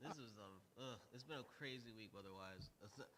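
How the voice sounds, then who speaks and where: A man co-hosting a casual talk show talks quickly in a bright, forceful tone. His voice is low, and he drops dry, wry remarks into the conversation. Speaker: co-host. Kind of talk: casual chat.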